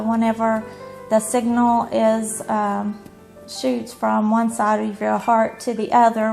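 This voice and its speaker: A woman's voice: fairly high pitch, gravelly. A woman in her forties earnestly explaining her own experience to camera, with frequent ums. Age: 40s. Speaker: interviewee.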